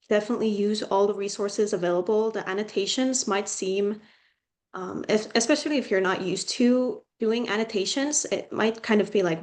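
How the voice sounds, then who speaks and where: Young Finnish-speaking woman tutor, chatty. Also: mid-range voice. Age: young adult. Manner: chatty, frequent "like" and ums. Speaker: student tutor. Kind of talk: casual advice to students.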